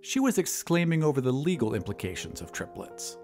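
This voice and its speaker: Casting: male narrator for dramatized fiction, medium pitch, highly expressive inflection, music bed. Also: a little fast. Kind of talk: scripted dramatic story narration.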